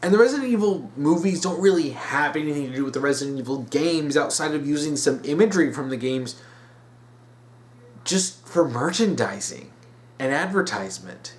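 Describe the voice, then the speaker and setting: A man hosting an opinion series, speaking bluntly and emphatically and repeating phrases to drive points home. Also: fairly high pitch. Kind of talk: opinionated commentary.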